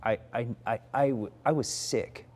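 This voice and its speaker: A man's voice: medium pitch, steady tone, normal speed, darkish tone. A man in his forties, an actor, reflecting candidly in conversation, often restarting his sentences. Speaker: actor, interview guest.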